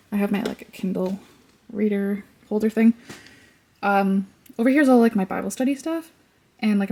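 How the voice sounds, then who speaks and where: A woman's voice: medium pitch, vocal fry, warm tone. Young woman, congested, rambling casual chat, bedroom. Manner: rambling, lots of "like" fillers. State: congested, sniffly. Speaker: lifestyle vlogger. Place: bedroom at home.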